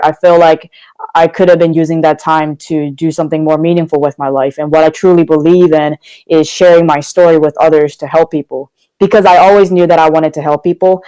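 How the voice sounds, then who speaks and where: Young guy on a podcast, talks open and energetic, smooth clear voice. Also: fairly high pitch, low-quality recording. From Korea. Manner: candid, energetic, slangy. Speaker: guest, motivational speaker. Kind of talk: casual podcast interview.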